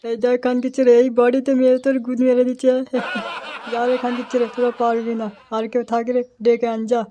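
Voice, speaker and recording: A man voicing a crude, excitable young street troublemaker in an amateur comedy cartoon, with artificially raised pitch. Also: a little fast, muffled recording. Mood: taunting, boastful. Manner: crude, taunting, shouty in fights. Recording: noisy amateur recording with clicks.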